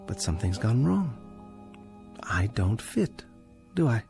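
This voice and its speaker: A man's voice: fairly low pitch, very animated, slow, gravelly, a little mellow, faint music behind, full character voice. An actor playing a condemned, bookish male librarian in a radio drama, precise, pedantic and dryly ironic. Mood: bitter, defiant.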